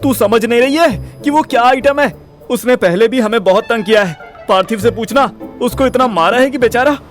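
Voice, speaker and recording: A man's voice: very high voice, moderate pace, faint music behind. A man playing a frustrated, jokey, protective young boyfriend in an audio drama, talking in slangy Hinglish. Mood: exasperated. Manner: slangy Hinglish, exasperated, wisecracking.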